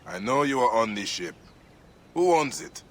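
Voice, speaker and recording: A man's voice: mid-range voice, very animated, moderate pace, a bit bright, slight background noise. An actor playing a serious, determined male investigator in an ancient Egyptian video game, terse and dry in his questioning.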